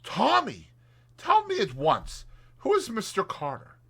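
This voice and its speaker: Brief: man putting on a bright, high young woman, eager and gushing, big lively swings. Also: vocal fry, slightly rough, heavily acted. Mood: excited. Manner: breathless, gushing and quick to exclaim. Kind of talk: audiobook character voice.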